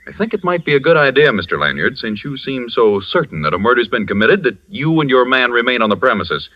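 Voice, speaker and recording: A pompous, overbearing rich host, played by an actor in an old radio drama. His mid-pitched voice moves lively up and down as he lays down the law. The vintage radio recording sounds dull and lo-fi. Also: fast talker, close to an everyday voice. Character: pompous, smooth wealthy male party host. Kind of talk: 1940s radio acting.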